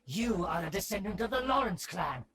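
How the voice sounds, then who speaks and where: A man's voice: high-pitched, animated, moderate pace, vocal fry, fairly bright tone, heavily acted. An actor playing a haughty middle-aged nobleman in a video game, a scheming uncle with a pompous, formal, theatrical manner. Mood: stern, imperious. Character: haughty aristocratic uncle scheming to restore his clan's rule.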